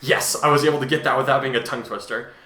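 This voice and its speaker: A man's voice: medium pitch, fairly even intonation, very fast, pushed and bright. A man hosting a casual discussion of his own writing, rambling and often correcting himself as he goes. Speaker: host, fan fiction author and reader. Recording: echoey room.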